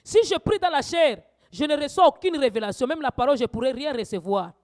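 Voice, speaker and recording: A woman preacher teaching a church sermon, swinging from quiet asides to loud, urgent exhortations. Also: high voice, expressive, fast talker.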